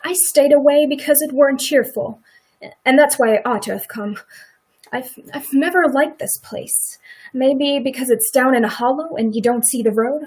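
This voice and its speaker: Woman acting a husky, regretful farmer's wife. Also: high voice, normal speed, darkish tone, rough recording. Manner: rueful, folksy rural speech. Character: farmer's wife Mrs. Hale, regretful, blunt, protective neighbour. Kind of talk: live stage radio play acting.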